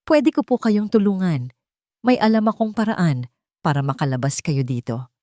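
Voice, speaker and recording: A woman's voice: lowish voice, lively intonation, normal speed, dark tone, dry recording, clean studio sound, close to an everyday voice. A woman voicing a timid maidservant who speaks with deferential urgency in a dramatic story. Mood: urgent. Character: timid, urgent young maidservant warning her mistress.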